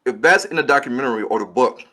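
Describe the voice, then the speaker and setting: A man's voice: mid-range voice, animated, fast, pushed and bright. A man, a filmmaker guest, giving long earnest explanations in an interview and restarting his sentences often. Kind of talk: interview answers.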